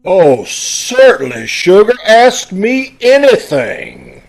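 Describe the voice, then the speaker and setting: Man doing slow, gushing flirt in radio comedy. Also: high voice, very animated, heavily acted. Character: gushing, flirtatious man peddling his used car. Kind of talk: old-time radio comedy acting.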